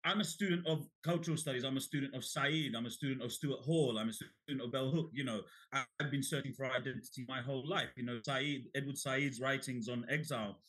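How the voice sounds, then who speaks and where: A middle-aged man from Bangladesh, a guest author in an interview, telling his story in an animated way, often restarting and repeating words. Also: mid-range voice, fast, hardly any pauses, low-quality recording.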